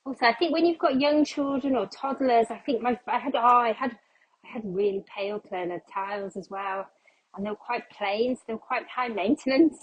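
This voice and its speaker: A woman's voice: fairly high pitch, brisk, dull audio. Breathless, slightly congested woman. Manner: chatty, self-deprecating, thinks aloud. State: a little out of breath, losing her voice. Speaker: cleaning vlogger. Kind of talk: casual chat while cleaning. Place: home kitchen while mopping.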